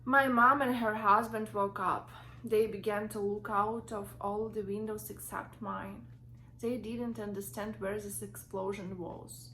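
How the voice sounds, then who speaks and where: A young woman with a Ukrainian accent, reading her own story from notes in a halting way with many fillers and restarts. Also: mid-range voice, fairly even intonation, sharp tone, slight background noise, low-quality recording. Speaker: vlogger.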